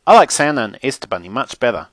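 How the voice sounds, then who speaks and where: A man's voice: mid-range voice, sing-song, fast talker, no echo, natural voice. A man voicing a defiant, fanciful little girl with childish grammar and bold exclamations, as in a children's audiobook. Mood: chatty, pleased.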